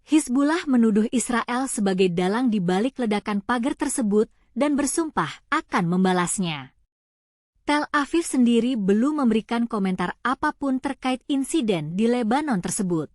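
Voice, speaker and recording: Female news narrator, higher-pitched voice, dry close sound, scripted delivery. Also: lively intonation, moderate pace, no room sound.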